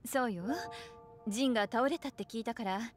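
Woman acting a teasing, high-voiced witch. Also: fairly quick, faint music behind, natural voice. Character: adult woman witch-librarian, languid, teasing and flirtatious. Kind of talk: anime game voice acting.

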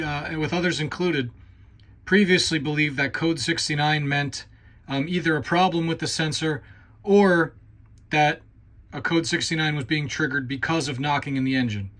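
A man with a somewhat high voice explains a repair step by step. He talks in a methodical, explanatory way, like a host guiding viewers through a job. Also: fairly bright tone. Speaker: channel host demonstrating repairs. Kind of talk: instructional walkthrough.

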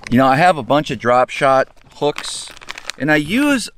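A man's voice: on the high side, big pitch swings, measured pace, fairly bright tone. Casual man, noisy outdoor boat recording. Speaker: fishing vlog host. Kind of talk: casual chat, explaining to camera. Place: open boat deck on a lake, outdoors. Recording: outdoor camera audio with clear boat noise.

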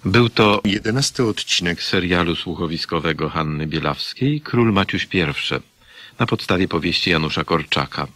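A man reading a scripted radio announcement in a formal, measured manner. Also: low voice, lively intonation, bright, forceful tone, no echo.

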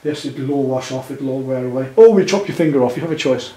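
A man's voice: medium pitch, quick pace, warm tone. Older guy in his 60s teaching painting, patient, echoey room, mic a bit away, some noise. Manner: patient, reassuring, instructing step by step. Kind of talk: hands-on demonstration to a student. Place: living room at home, indoors. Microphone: camera a few feet away. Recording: roomy, distant mic with background noise.

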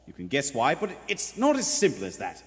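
An actor playing an earnest, troubled young police detective in a radio play. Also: male voice, mid-range voice, wildly swooping pitch, a little fast. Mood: earnest, pressing. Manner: urgent, earnest. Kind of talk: stage radio play acting. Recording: echoey theatre hall.

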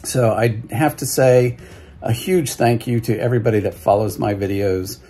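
A man in his sixties at home, giving viewers a casual update in a halting way, with many fillers and restarts. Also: mid-range voice, fairly even intonation, warm tone, slightly rough, faint music behind. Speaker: hiking vlogger. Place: living room at home, indoors. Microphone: phone or webcam at close range.

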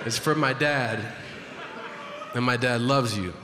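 A man's voice: medium pitch, slight background noise. A man telling a long stand-up story on a large theater stage with a handheld mic, slipping into voices for the people in it. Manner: unhurried storytelling with voiced impressions. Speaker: stand-up comedian. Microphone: handheld microphone. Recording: echoey hall with loud audience.

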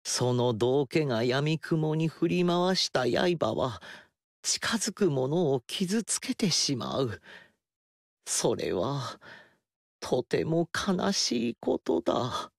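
An actor playing a gentle, self-effacing young male ruler in a video game, soft-spoken and politely old-fashioned. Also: a little high, big pitch swings, slow pace, vocal fry, no echo, clean studio sound, full character voice. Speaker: game character. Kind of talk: game dialogue acting.

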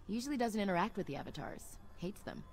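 An actress playing a brisk, confident female military officer in a video game. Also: medium pitch, very animated. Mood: brisk, assured.

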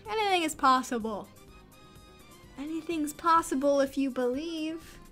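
A woman's voice: high-pitched, unhurried, a bit bright, faint music behind. A woman voicing a goofy, cocky teenage girl prankster with playful, mock-dramatic teasing.

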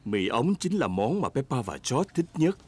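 A man's voice: mid-range voice, very animated, normal speed, husky. A man narrating a children's cartoon in a plain, friendly storytelling tone. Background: loud cartoon music.

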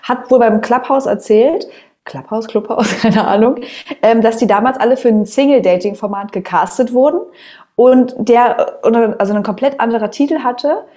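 A woman's voice: a little high, moderate pace, mellow. A woman in her twenties co-hosting a show, chatting casually and bluntly in slangy, wry talk. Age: 20s. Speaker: co-host of an online show. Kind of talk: casual chatty discussion of reality TV. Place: small indoor room at a desk.

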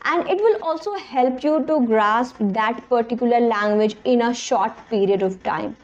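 A woman's voice: a little high, normal speed, lo-fi sound. Young woman, English not her first language. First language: Hindi. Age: young adult. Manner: earnest, plain and direct. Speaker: English-learning vlogger. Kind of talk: casual talk to camera. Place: small bedroom indoors. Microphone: camera in front at close range.

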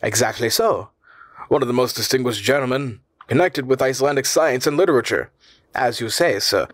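A man performs a cunning, excitable learned uncle from a classic storybook. He talks formally but abruptly, keeping his guard up, in a medium male pitch on a dry recording. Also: fairly quick, dry recording, natural voice. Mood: probing, guarded.

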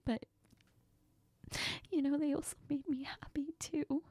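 A woman playing a heartbroken young woman in an intimate audio role-play, crying and confiding in a halting, breathy, often whispered voice. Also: high-pitched, steady tone, dark tone, no echo. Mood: wistful, hurt. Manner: halting, sniffly, tearful. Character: heartbroken, tearful, apologetic young woman confiding in best friend.

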